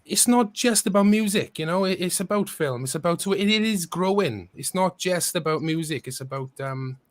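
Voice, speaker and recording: A man's voice: high voice, normal speed. A man hosting a podcast, chatting casually in a rambling way with many restarts. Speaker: podcast host. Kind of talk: casual chat.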